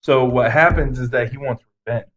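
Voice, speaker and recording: A man's voice: medium pitch, brisk, fairly bright tone. A man co-hosting a podcast, giving blunt, emphatic opinions in casual chat with some swearing. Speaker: podcast co-host. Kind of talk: casual ranting movie review chat.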